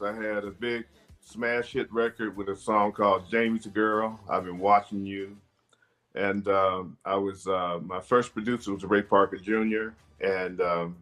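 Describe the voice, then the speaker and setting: A man in his sixties, a singer and interview guest, recalling his career in a hesitant way with many pauses and fillers. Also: fairly low pitch, sharp tone. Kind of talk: casual interview talk.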